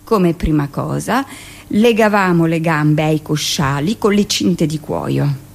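An actress playing a hardened midwife, recounting hospital memories matter-of-factly in a theatrical radio monologue. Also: female voice, low voice, slow pace, creaky, exaggerated voice. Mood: matter-of-fact recollection.

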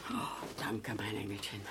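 A woman's voice: extremely low pitch, animated, slow pace, very noisy, heavily acted. An actress playing a frail, flustered old grandmother who fusses and repeats herself in a naturalistic radio drama. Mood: embarrassed, hesitant. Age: older. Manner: fussy, muttering, repeating herself. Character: frail, flustered old granny baking drug cookies.

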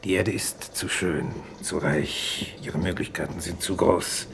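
Grave, deep-voiced exile, gravelly. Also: male voice, steady tone. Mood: solemn conviction. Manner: measured, grave, declarative. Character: resigned, unbending exiled doctor in a penal colony on Venus. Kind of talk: 1950s German radio play acting. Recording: faintly noisy 1950s radio recording.

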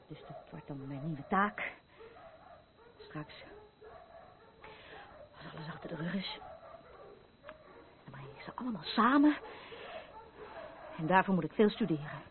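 An actress playing an intense, haunted woman in a Dutch radio drama, brooding, earnest and halting. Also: sits low, animated. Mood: earnest, determined. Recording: muffled, phone-like old radio play recording.

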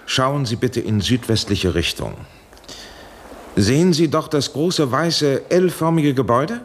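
An actor playing an anxious, authoritative professor in a 1970s German radio drama, urging and imploring in formal speech. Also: male voice, medium pitch, lively intonation, slow, a little hiss or hum. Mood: evasive explaining. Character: anxious authoritative male professor pleading urgently by radio link.

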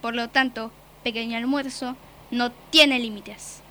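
A woman playing a menacing, mocking beast in a radio drama, with a forceful, biting voice and a taunting, commanding manner. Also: fairly high pitch, steady tone, measured pace, slight background noise. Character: menacing beast, servant of the Nothing, mocking predator.

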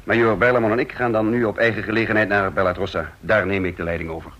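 An actor playing a shrewd, self-assured operation leader in a Dutch radio drama, giving orders in a clipped, matter-of-fact way. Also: male voice, fairly low pitch, fairly even intonation, quick pace, fairly bright tone. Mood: brisk commanding. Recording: dull old radio recording.

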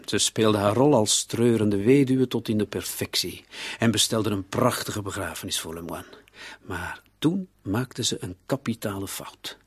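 Husky, sardonic police commissioner. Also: male voice, fairly low pitch, unhurried, no echo. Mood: confident, probing. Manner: sardonic, taunting, with rhetorical tags. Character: shrewd sardonic police commissioner interrogating a suspect. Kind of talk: radio play acting.

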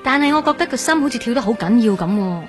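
An actress playing a gentle, hesitant young woman recovering from surgery in a Cantonese radio drama, with a Hong Kong accent. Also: a little high, expressive, fast, faint music behind, close to an everyday voice. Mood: hesitant, puzzled. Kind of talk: Cantonese radio drama acting, naturalistic dialogue.

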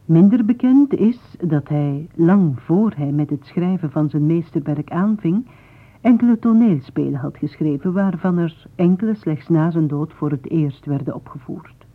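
A woman's voice: low voice, lively intonation, a little slow. A woman giving a formal, literary scripted introduction to a radio play. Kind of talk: scripted narration. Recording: muffled narrow-band 1980s radio recording.

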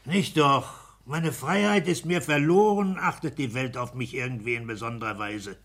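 An actor playing a smug, stubborn petty-bourgeois civil servant, blunt and domineering, in a 1960s German radio play. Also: male voice, medium pitch, normal speed, fairly bright tone. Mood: complacent. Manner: blunt, self-satisfied, domineering.

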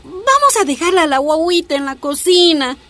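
A woman's voice: very high voice, big pitch swings, normal speed, pushed and bright, full character voice. An actress playing an earnest, anxious young girl with a Bolivian accent in a radio soap opera. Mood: pleading, insistent.

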